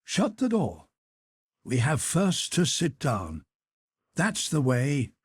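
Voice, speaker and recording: A man's voice: a little high, huge pitch swings, takes it slowly, husky, dry recording, clean studio sound, voice pushed up, exaggerated voice. A man playing an eager young nobleman. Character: reckless young nobleman eloping, eager and impulsive.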